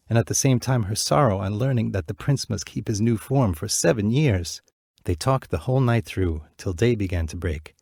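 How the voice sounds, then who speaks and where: A man narrating a fairy tale in a measured, clear reading voice. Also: on the low side, darkish tone, dry recording, studio quality. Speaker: storyteller.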